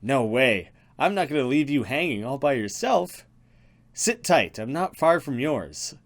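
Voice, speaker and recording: A man's voice: medium pitch, sing-song, measured pace. A man voicing a loyal, teasing young woman in a visual novel, reading her lines with playful reassurance. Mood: reassuring. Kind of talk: visual novel dialogue read aloud.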